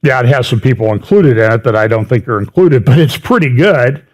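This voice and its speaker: A man's voice: medium pitch, a little fast. A middle-aged man giving a conversational lecture over slides, stressing words by repeating them and dropping in wry asides. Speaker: speaker giving a prophecy update talk.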